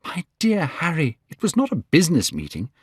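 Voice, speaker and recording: A man voicing an eager, lovestruck young man in a spoken storybook, pitched high and gushing. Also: huge pitch swings, brisk, husky, no echo, clean studio sound, exaggerated voice. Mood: excited, gushing.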